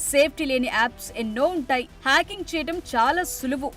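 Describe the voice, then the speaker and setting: A woman's voice: high-pitched, a little fast, fairly bright tone. A woman delivering a scripted news narration as a reporter. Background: music behind the voice.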